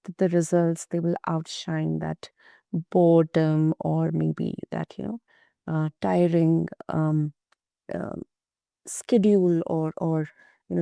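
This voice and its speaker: Low, gravelly, dark-voiced woman host. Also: no echo, studio quality. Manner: hesitant, many fillers and restarts, warm praise. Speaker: podcast host. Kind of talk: interview questions.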